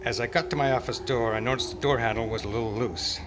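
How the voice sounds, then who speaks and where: A man's voice: mid-range voice, fast talker, natural voice. A man playing a tough, gallant private eye in an old-time radio drama, with a wry, world-weary, slangy delivery. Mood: narrating. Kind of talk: hardboiled radio detective narration and dialogue. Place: club meeting, live performance before microphone. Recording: noisy amateur live stage recording.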